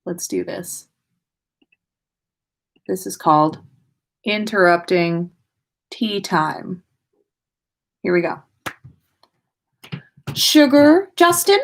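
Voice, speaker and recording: Slow-paced woman narrating a story. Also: lowish voice, gravelly, a bit bright. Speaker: podcast host reading listener stories.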